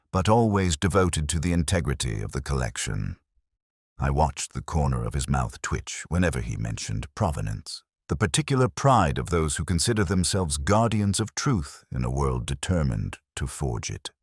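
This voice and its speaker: A man reading a scripted first-person detective story as narrator, in a measured, solemn, literary manner. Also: very deep voice, slightly rough, dry recording, studio quality.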